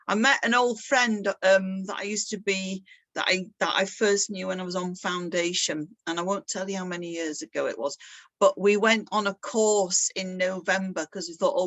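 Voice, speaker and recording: A woman's voice: fairly low pitch, a bit bright, no room sound. A middle-aged woman talking casually about her own artwork, chatty and self-deprecating, laughing often. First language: English. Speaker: artist giving a talk. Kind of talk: casual presentation.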